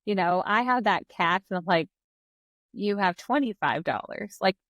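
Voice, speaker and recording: A woman co-hosting a podcast, chatty and lively when telling stories about her son. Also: medium pitch, normal speed, no echo. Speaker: co-host. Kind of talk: casual interview chat.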